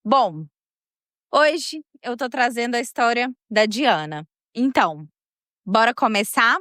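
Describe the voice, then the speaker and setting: A woman's voice: fairly high pitch, animated, slow pace, a bit bright, no room sound, studio quality. A woman from Minas Gerais with a Mineiro accent, hosting a podcast and telling a real-life story in a casual, chatty way, laughing often and talking straight to her listeners. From Minas Gerais, Brazil.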